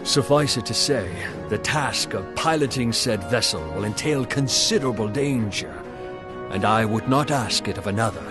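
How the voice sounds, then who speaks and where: An actor playing a grave, deferential naval marshal in a fantasy game, speaking formally and with old-fashioned phrasing. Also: male voice, fairly low pitch, natural voice. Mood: resolute. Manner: formal, measured, archaic phrasing. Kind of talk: scripted fantasy game dialogue. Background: music.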